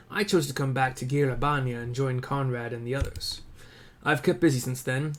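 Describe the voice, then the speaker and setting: Man reading as a steady, friendly seasoned soldier. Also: medium pitch, lightly acted.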